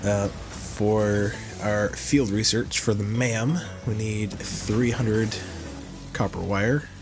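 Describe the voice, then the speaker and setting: Slow-talking man with a stuffy cold. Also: fairly low pitch, fairly even intonation, music in the background. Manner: rambling, thinking aloud, many fillers. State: nasal from a cold. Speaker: gaming channel host. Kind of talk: casual gameplay commentary.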